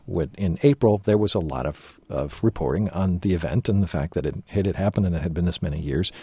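A man hosting a radio interview, asking questions in a measured, conversational way. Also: low voice, dark tone, breathy edge, dry recording. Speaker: radio host.

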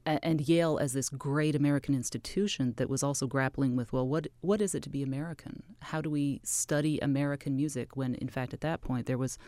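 A woman's voice: a bass voice, steady tone, a little fast, hardly any pauses, warm tone, no room sound. A woman from Canada, an author and music scholar, answering questions as a radio interview guest in a thoughtful, explanatory way.

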